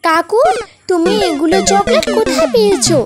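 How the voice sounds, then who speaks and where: A woman's voice: extremely high pitch, lively intonation, moderate pace, over loud music, pitched above the performer's own voice. A woman voicing a naive, complaining young bird schoolchild in a cartoon story. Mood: eager curious. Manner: pleading, complaining.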